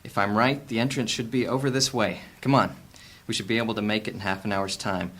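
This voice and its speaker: A curt, urgent ranger. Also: male voice, lowish voice, steady tone, fairly quick, a bit bright. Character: terse male ranger guiding a princess to safety.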